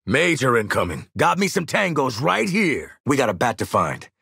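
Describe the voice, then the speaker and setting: A no-nonsense, cocky mercenary marksman from a video game. His lines are punchy, streetwise and wry, with a very animated pitch. The voice is husky and sits in a dry, close recording. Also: moderate pace, dry recording, exaggerated voice. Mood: brisk, commanding.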